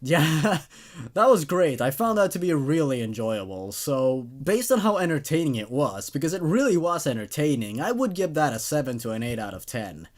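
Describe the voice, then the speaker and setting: Male narrator-reviewer, lively intonation, slightly high pitch, informal and enthusiastic. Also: normal speed. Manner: enthusiastic, chuckling. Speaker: horror story narrator and reviewer. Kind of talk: casual off-the-cuff review.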